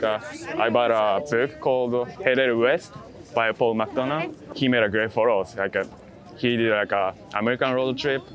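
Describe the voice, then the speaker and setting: Man chatting casually over busy crowd noise. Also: medium pitch. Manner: halting non-native English with dropped articles and plurals. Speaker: street photographer being interviewed. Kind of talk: casual chat. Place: outdoors in a busy city.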